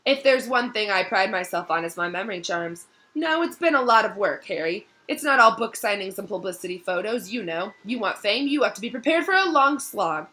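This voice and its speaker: A vain middle-aged wizard professor from a storybook, acted by a woman, pitched up and projected brightly. He blusters and hems, pompous and slippery in every phrase. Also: animated, a little fast, hardly any pauses. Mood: smug.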